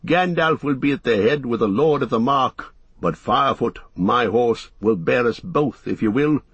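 A man's voice: medium pitch, moderate pace, fairly bright tone, husky, dry recording, muffled recording. A man voicing a noble, courteous warrior horseman from a fantasy storybook, in a formal declaiming manner.

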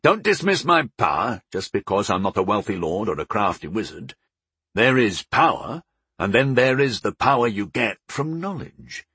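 A man voicing a portly, proud older baker father in an audiobook, gruff and resolute, explaining things without hurry. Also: on the low side, big pitch swings, pushed and bright, no echo, full character voice. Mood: proud, reassuring. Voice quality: gruff, grumbling. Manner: unhurried, explaining, homespun wisdom. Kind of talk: audiobook character dialogue.

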